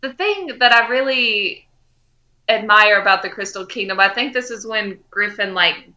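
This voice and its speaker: A woman's voice: medium pitch, normal speed, bright, forceful tone, lo-fi sound. A woman co-hosting a podcast in casual fan chat. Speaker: podcast co-host.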